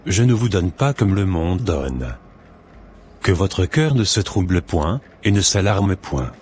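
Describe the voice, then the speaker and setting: Very deep-voiced, earnest devout schoolboy son, played by a man. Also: very animated, a little mellow, heavily acted. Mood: reverent, solemn. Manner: earnest, reverent, measured. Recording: noticeable background noise.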